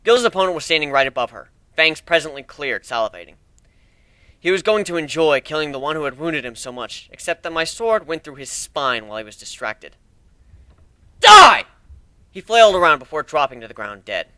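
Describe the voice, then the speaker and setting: A man's voice: a little high, lively intonation, a little fast, pushed and bright, clear, resonant tone, no room sound. A man giving a dramatic first-person narration of a fantasy action story.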